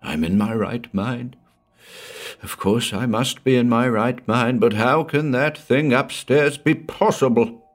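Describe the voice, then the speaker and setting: A man voicing a big, strong man over sixty, hoarse and shaken with terror. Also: mid-range voice, measured pace, voice pushed up, natural voice. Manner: frantic, pleading.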